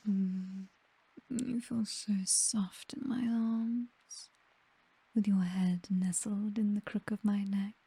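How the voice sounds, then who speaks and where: A woman with a British accent playing a possessive, seductive vampire mistress in a whispered, breathy ASMR roleplay, doting yet condescending and coolly menacing. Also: medium pitch, level tone, slow pace, mellow, full character voice, rough recording.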